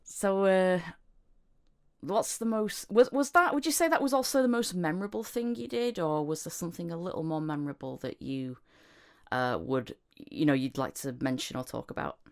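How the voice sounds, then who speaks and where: A woman with a low voice hosts a casual podcast interview. She speaks in an encouraging, slightly halting way, often rewording what she says as she goes. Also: clear, resonant tone. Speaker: podcast host, voice actor.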